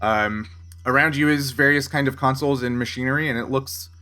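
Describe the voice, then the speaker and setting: A man improvising narration as game master of a casual tabletop role-playing game, pausing to find his words. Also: mid-range voice, sharp tone. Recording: faint music underneath.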